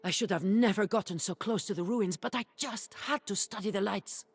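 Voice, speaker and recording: A woman's voice: medium pitch, normal speed, breathy edge, no echo. A woman playing an eager, curious scholar rescued from captivity in a video game, with an earnest delivery. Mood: grateful, curious. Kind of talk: video game dialogue acting.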